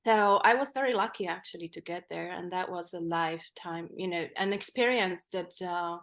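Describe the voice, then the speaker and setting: A woman in her forties, a native Polish speaker from the Czech Republic whose English carries an American tinge. She speaks as an interview guest in a measured way, pausing often with fillers. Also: lowish voice, a bit bright. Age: 40s. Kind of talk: reflective interview talk.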